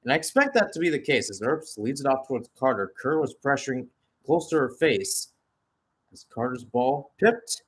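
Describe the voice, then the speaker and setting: A man works as a play-by-play commentator on a live soccer match. His voice sits at an ordinary male pitch. He describes the play in short calls and fills the gaps with conversational asides. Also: very animated, moderate pace, a bit bright.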